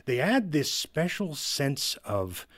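A man's voice: fairly high pitch, big pitch swings, takes it slowly, a bit bright. A man chatting casually and enthusiastically as a panelist, explaining things as he goes. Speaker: podcast panelist.

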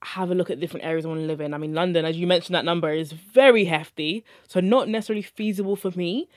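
A woman's voice: lowish voice, quick pace, a little mellow, dry recording, clean studio sound. A young woman hosting a podcast, introducing her guest and asking questions in a friendly, conversational way. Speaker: podcast host. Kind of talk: podcast interviewing.

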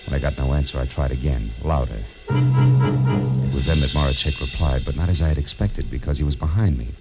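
An actor playing a wisecracking hard-boiled private detective in a 1940s radio drama, clipped, sardonic and slangy. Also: male voice, very deep voice, fairly quick. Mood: tense narrating. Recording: muffled vintage radio recording, music behind.

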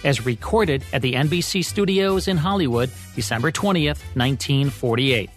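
A man's voice: medium pitch, lively intonation, normal speed. An American man hosting a show, reading scripted radio history with polished, announcer-like delivery. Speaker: podcast host. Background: music bed.

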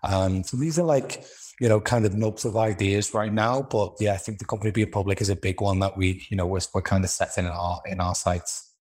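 A man's voice: on the low side, steady tone, fairly quick, darkish tone. A man with a Liverpool accent talking casually as an interview guest, feeling his way through his thoughts in a hesitant manner. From Liverpool, England.

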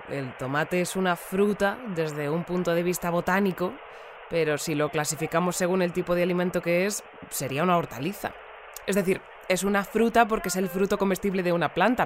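A woman's voice: lowish voice, slight background noise, natural voice. A woman playing a polite, earnest news presenter who interviews and explains wordily in an audio drama. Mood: puzzled, courteous. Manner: courteous, wordy, explanatory.

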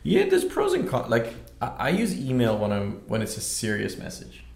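A man guesting on a podcast, chatting casually and easygoingly, often agreeing and tossing in quick asides. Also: mid-range voice, huge pitch swings, creaky, darkish tone. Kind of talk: casual chat. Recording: echoey room.

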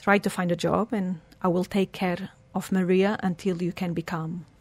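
A woman's voice: fairly low pitch, little pitch movement, normal speed, darkish tone, no room sound. A woman, telling her life story earnestly as an interview guest. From Portugal. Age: 40s. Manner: earnest, storytelling, slightly broken English phrasing.

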